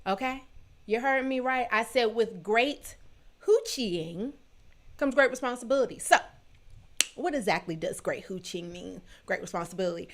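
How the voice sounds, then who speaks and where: A woman in her thirties hosting a solo livestream, talking in a sassy, emphatic, playfully scolding way with a booming voice. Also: animated, moderate pace, a little hiss or hum. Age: 30s. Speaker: livestream show host.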